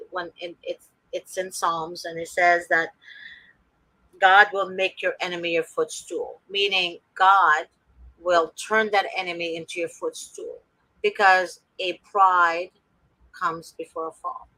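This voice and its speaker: Passionate, bright-voiced woman host. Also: lowish voice, dry recording, rough recording. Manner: passionate, preachy, quotes scripture. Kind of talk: casual advocacy talk show.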